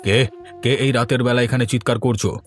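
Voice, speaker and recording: A man voicing an excitable, easily frightened village father in a horror cartoon, urgent and exclamatory. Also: mid-range voice, fairly quick, soft background music, lightly acted. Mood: alarmed, questioning. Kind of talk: dubbed horror cartoon dialogue.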